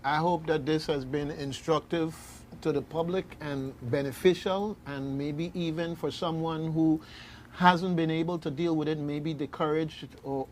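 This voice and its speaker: Halting male radio host, faint noise. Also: fairly high pitch. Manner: halting, repeats words. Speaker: radio talk show host. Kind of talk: casual on-air discussion.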